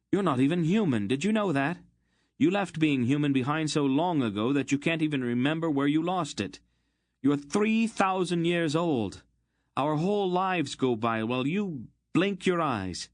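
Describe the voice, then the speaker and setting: A man's voice: mid-range voice, breathy edge, dry recording, pitched above the performer's own voice. A man doing a furious hot-headed youth. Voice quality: shouting, strained. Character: angry young man, hot-headed and defiant.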